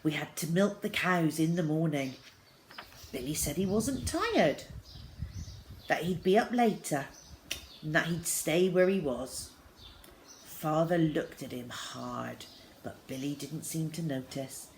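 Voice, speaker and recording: Female storyteller, lowish pitch, dramatic emphasis in children's fiction, noticeable background noise with birdsong. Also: lively intonation, slow pace, pauses often. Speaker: storyteller reading a children's novel aloud. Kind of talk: expressive scripted story narration.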